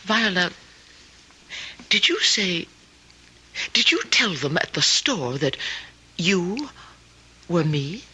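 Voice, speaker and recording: Fretful, sharp-toned, timid woman. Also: on the low side, big pitch swings, takes it slowly, long pauses, breathy edge, heavily acted. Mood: hesitant, puzzled. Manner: hesitant, plaintive. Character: timid, lonely, put-upon woman, resentful and fretful. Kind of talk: old-time radio drama acting. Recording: noisy old radio recording.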